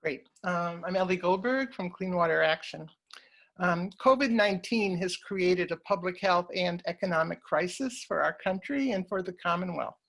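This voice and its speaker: A woman's voice: lowish voice, moderate pace, fairly bright tone. A woman representing an organization, putting a prepared question to candidates at a forum.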